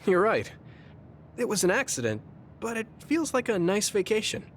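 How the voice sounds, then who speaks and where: A man playing a gentle, friendly, easygoing young hero in an anime game dub. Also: high-pitched, big pitch swings, normal speed, a little hiss or hum. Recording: music clearly behind the voice.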